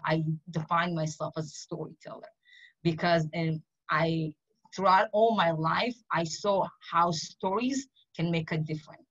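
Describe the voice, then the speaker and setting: Earnest low-voiced woman. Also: fairly bright tone. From Israel. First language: Amharic. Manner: earnest storytelling with restarts. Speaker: panelist and activist. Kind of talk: panel discussion talk. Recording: dull lo-fi audio.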